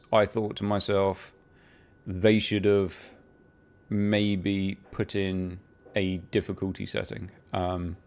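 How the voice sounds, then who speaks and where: A man chatting casually about a video game he has been playing, rambling with restarts and the odd swear, building into excited bursts. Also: lowish voice. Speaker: gaming video creator. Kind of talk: casual unscripted game talk to camera.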